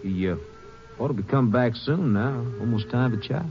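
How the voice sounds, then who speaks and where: A man's voice: medium pitch, normal speed, close to an everyday voice. An actor playing an easygoing, chatty ranch foreman in a radio western, folksy and hesitant, with an American Western accent. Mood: folksy, amused. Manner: hesitant, folksy, chuckling drawl. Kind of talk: 1950s radio western acting. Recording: muffled 1950s radio, faint noise.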